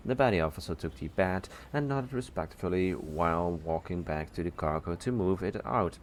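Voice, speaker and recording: A man reading a scripted story as narrator, halting now and then and correcting his words. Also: sits low, slight background noise. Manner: halting, stumbles over words and corrects himself.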